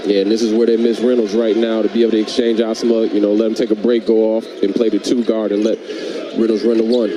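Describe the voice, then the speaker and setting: Guy doing color on a hoops game, talks fast, noisy booth. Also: on the low side, flat delivery, dark tone. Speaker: radio color analyst. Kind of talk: live basketball analysis. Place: broadcast booth in a sports arena. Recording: clear background noise from arena broadcast.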